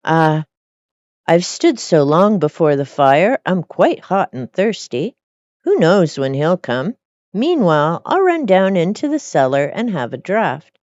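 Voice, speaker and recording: A woman's voice: on the low side, very animated, measured pace, a little mellow, no room sound, dull audio. A woman voicing a merry, greedy, sly female cook from a storybook, chirpy and wheedling as she talks herself round. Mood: innocent, self-pitying. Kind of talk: storybook character reading.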